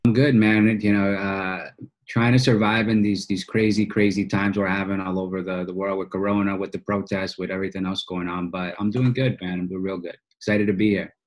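Deep-voiced man, casual podcast guest. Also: fairly even intonation, brisk. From United States, Boston. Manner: informal, slangy speech. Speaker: podcast guest, financial coach. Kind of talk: casual conversational storytelling. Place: small office, indoors, on a video call.

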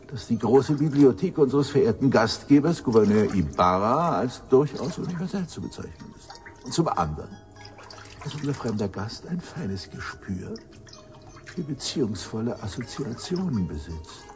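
Male actor as pompous research professor, radio play. Animated mid-range voice, florid phrasing, music underneath. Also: mid-range voice, normal speed, natural voice. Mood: smug, ironic. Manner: florid, theatrical, formal. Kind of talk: German radio drama acting.

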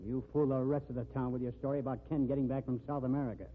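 An actor playing a stern, jealous, blunt friend, lecturing forcefully in 1950s radio style. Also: male voice, mid-range voice, little pitch movement, rapid-fire, natural voice. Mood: threatening. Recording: tinny, noisy 1950s radio recording.

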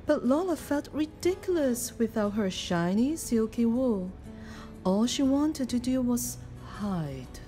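A woman reading a children's picture book aloud in an expressive, deliberate storytelling manner. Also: fairly high pitch, faint music behind. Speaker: storybook reader.

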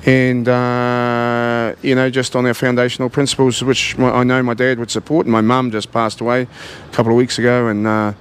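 A man in his forties with a New Zealand accent, talking earnestly and haltingly about his gym in a casual interview, recorded on a handheld mic in a large indoor gym. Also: medium pitch, little pitch movement, clean tone. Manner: earnest, halting, many fillers and restarts. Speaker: gym owner.

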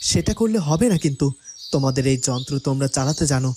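An actor voicing a commanding young prince in a cartoon, firm and insistent. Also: male voice, mid-range voice, lively intonation, fast, warm tone, slight background noise, natural voice. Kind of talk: cartoon dubbing.

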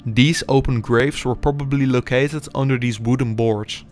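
Young man, measured documentary voice-over, middle pitch, faint background music. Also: fairly even intonation, a little mellow. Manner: measured, explanatory delivery. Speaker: urban explorer narrator. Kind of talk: scripted documentary narration.

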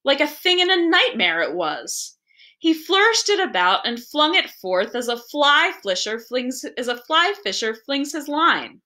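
Female story narrator: higher-pitched, bright, expressive, deliberate literary reading. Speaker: librarian reading a story aloud.

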